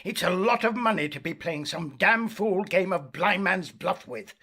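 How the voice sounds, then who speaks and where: A man's voice: high voice, normal speed, bright, forceful tone, slightly rough, exaggerated voice. An actor playing a fussy, sceptical male opera manager in a radio drama, incredulous and querulous. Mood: sceptical, grumbling. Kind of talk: full-cast radio drama acting.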